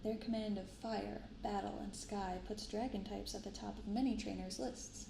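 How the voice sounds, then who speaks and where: A soft-spoken woman with a creaky, fry-edged voice at a middling pitch narrates in an ASMR style, moving between reading aloud and casual commentary. She is picked up in a roomy space with noticeable background noise. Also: steady tone, normal speed. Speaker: host of a card-opening channel. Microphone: binaural microphone.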